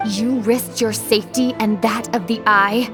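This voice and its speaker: A woman's voice: fairly high pitch, steady tone, measured pace, over music. A woman playing a poised, formidable young leader in a video game, formal, composed and resolute. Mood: cool, wary.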